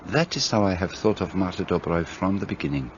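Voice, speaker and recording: A man's voice: sits low, expressive, fairly quick, muffled recording, a little hiss or hum. An actor playing a shrewd, fussy Belgian detective in a radio play, speaking precisely and courteously as he lays out his reasoning. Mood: explaining.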